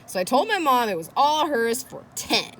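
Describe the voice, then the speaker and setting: Woman reading a children's book aloud, lively intonation. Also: high-pitched, moderate pace, a little hiss or hum. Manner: engaging young listeners with questions and commentary. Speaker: read-aloud host. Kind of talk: reading a children's chapter book aloud with chatty asides to listeners.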